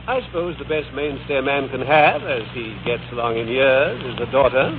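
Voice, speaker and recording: A man's voice: mid-range voice, lively intonation, normal speed, natural voice. An actor playing a haughty, philandering older father in 1940s radio drama, pompous, dry and formal, coolly cutting toward his daughter. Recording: noisy, dull old 1940s radio recording.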